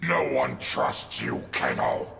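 Very gravelly, menacing warrior. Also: male voice, mid-range voice, wildly swooping pitch, slow pace, noisy background, full character voice. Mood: cold menace. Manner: grave, commanding. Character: menacing, imperious male fantasy warrior. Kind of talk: video game fight banter. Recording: game music behind.

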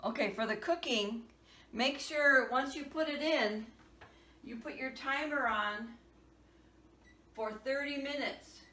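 A woman's voice: sits high, slow, pauses often. A woman in her sixties giving plain step-by-step cooking instructions in her home kitchen. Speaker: home cook. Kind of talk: casual cooking demonstration. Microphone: camera across the kitchen. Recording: echoey kitchen, camera far off, loud noise.

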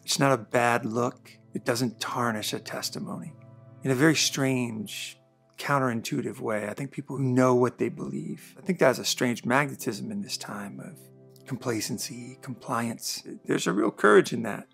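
Gravelly, husky man talking to camera. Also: mid-range voice, normal speed, faint music behind. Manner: earnest, conversational, with emphatic bursts and quiet asides. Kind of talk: reflective monologue to camera. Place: dark studio backdrop, indoors.

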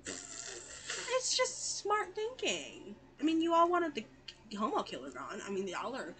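A woman's voice: high-pitched, huge pitch swings, a little slow, low-quality recording. A young woman improvising a comedic amateur cartoon dub, slangy and giggly, full of exclamations. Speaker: amateur fan dub performer.

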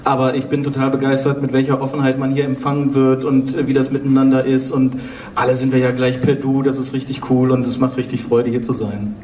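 A man's voice: medium pitch, little pitch movement, brisk, mellow. A man giving a casual, informal answer as a guest on a live panel. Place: theatre stage, indoors. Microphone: handheld mic, recorded from audience. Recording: echoey, distant stage recording with noise.

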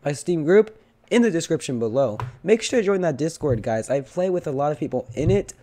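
A young man with a hoarse, cracking voice from illness, chatting casually as he opens loot boxes and pleads for better luck. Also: a little high, expressive, brisk, mellow. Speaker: gaming channel host. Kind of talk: casual game commentary.

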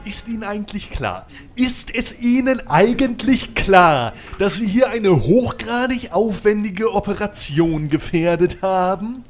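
A man's voice: sits high, animated, a little slow, hardly any pauses, soft background music. A man playing an irate, blustering FBI agent in an audio drama, with a scolding manner. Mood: furious.

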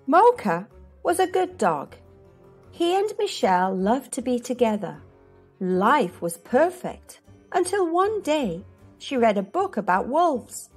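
A woman reading a children's storybook aloud as host, expressively and with deliberate enunciation. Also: a little high, big pitch swings, husky, soft background music.

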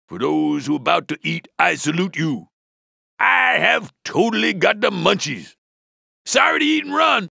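Cartoon game stoner bus driver, American, very gravelly, swooping pitch, metalhead slang, spaced-out drawl. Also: male voice, bright, forceful tone, dry recording, studio quality, full character voice. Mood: rowdy, hyped. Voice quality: raspy, creaky slacker drawl. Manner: spaced-out slacker slang, drawn-out words. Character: laid-back stoner metalhead male school bus driver. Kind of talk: cartoon video game voice acting.

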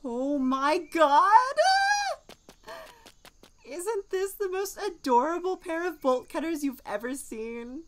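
A woman playing a nervous, soft-hearted young man in an audio drama, hesitant and flustered with sighs and stammers. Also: sits high, animated, slow, background noise. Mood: gushing.

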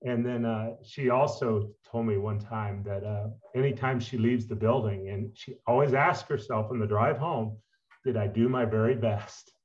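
A man's voice: medium pitch, normal speed, darkish tone. Middle-aged man, dull call audio. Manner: heartfelt storytelling. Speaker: guest. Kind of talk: interview on video call. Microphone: video call.

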